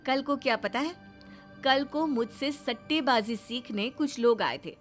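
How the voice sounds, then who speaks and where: A woman's voice: high voice, a little slow, soft background music. A woman voicing a cocky, cheeky young daughter-in-law in a Hindi moral-story cartoon, theatrical and boastful. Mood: defiant.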